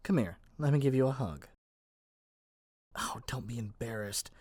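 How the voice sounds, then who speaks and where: A man's voice: medium pitch, animated, a little slow, a little mellow, no room sound, clean studio sound. A man playing a lovesick young witch shopkeeper in a scripted ASMR roleplay, theatrical and coaxing in a playful way. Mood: tender, coaxing. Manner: theatrical, coaxing, playful, stammers when pleading.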